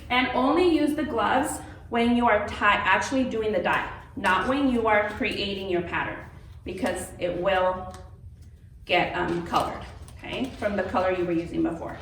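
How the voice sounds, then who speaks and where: A woman's voice: medium pitch, fairly bright tone. A woman giving an informal step-by-step craft demonstration as an instructor, reassuring and often checking in with an "okay". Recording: roomy, distant mic with faint noise.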